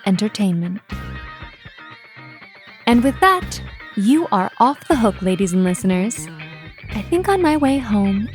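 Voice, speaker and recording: An actress playing a philosophical vintage telephone operator who hosts an audio anthology, narrating in a wry, theatrical, measured way. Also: female voice, mid-range voice, a little mellow, close to an everyday voice. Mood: sardonic, then wistful. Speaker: host narrator. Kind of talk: scripted dramatic monologue, vintage anthology narration.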